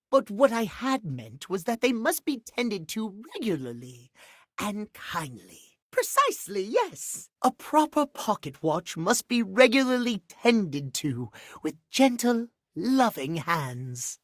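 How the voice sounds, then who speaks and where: A prim and genteel game character, a fussy clock gentleman with a high, expressive voice. His diction is formal and precise, unhurried and full of swooping emphasis. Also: no echo, studio quality, full character voice. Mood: instructive. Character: fussy, genteel, punctual clock gentleman doting on pocket watches. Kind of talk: video game character acting.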